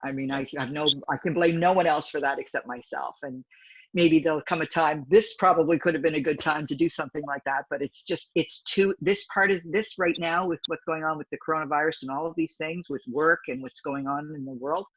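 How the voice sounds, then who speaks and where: A deep-voiced woman in her fifties talks freely as an interview guest and often circles back and restarts her sentences. Her voice is husky and low. The recording is dry and slightly dull. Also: steady tone, a little fast, dry recording. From Canada. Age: 50s. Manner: rambling, talkative, frequent restarts. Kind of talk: casual interview chat.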